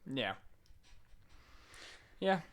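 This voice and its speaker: A man co-hosting a podcast in casual, laid-back chat, often briefly agreeing. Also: extremely slow. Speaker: podcast co-host.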